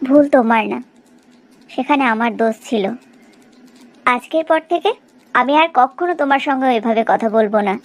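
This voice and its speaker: A woman's voice: on the high side, moderate pace, pauses often, natural voice. A woman playing a confident, coaxing young bride-to-be in a cartoon drama. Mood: composed, coaxing. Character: bold, teasing, scheming young bride-to-be.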